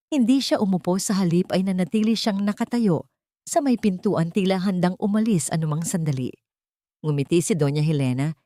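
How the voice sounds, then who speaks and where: A woman's voice: low-pitched, warm tone, dry recording, clean studio sound. A woman storyteller reading a scripted story in a dramatic, suspenseful manner. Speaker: storyteller, narrator.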